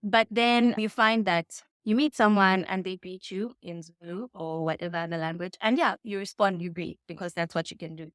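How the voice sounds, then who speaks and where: A woman in her thirties with a Zambian accent speaks as an interview guest. Her tone is smooth and clear, captured very dry. She answers casually and reflectively, picking her words with care. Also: mid-range voice, moderate pace, few pauses, fairly bright tone, no echo. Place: indoors in a home.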